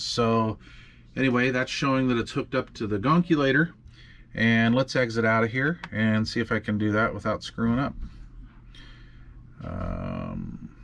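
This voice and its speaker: A man casually walking through radio software in a makeshift home studio, often trailing off and muttering to himself as he tinkers. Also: on the low side. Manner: muttering to himself while fiddling with gear, many fillers. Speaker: radio hobby video host. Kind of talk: casual hands-on demonstration.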